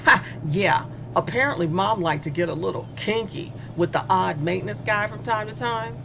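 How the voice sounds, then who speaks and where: A woman's voice: mid-range voice, gravelly, noisy background. A woman playing a spoiled, bored young female killer in a horror audio drama, confessing with sardonic, casually menacing glee.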